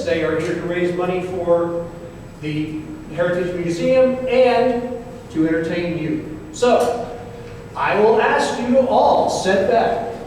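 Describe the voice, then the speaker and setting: A man's voice: sits high, darkish tone. A man introducing a stage show to an audience as its presenter, informally and with jokey asides. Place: museum venue in an old courthouse, before live audience. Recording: very echoey hall, distant mic, faint noise.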